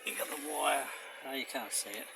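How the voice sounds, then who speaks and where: A man's voice: medium pitch, fairly quick, gravelly. An Australian man giving a casual, rambling, plain-spoken walkthrough of a hands-on job, recorded on location around a car. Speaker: vlogger showing a DIY car radio install. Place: in and around a car under a carport. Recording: noisy handheld recording on location.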